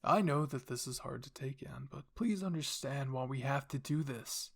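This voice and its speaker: A man's voice: mid-range voice, moderate pace, vocal fry, slightly rough. A man voicing a cold, scheming royal father who commands and explains without remorse in an anime fan fiction reading. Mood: persuasive.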